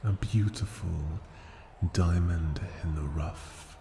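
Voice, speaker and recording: Man voicing a very slow, deep ancient dragon. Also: warm tone, roomy sound, slight background noise, exaggerated voice. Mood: possessive, tender. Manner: slow, deliberate, formal, condescending, often dropping to a breathy whisper. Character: ancient powerful dragon, haughty, possessive, tenderly menacing. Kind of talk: ASMR fantasy roleplay, intimate scripted monologue.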